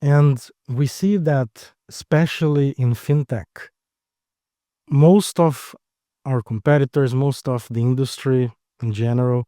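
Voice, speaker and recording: A man's voice: mid-range voice, dark tone, no echo, clean studio sound. A man from Brazil with a Brazilian accent, a startup co-founder answering interview questions in short, explanatory phrases.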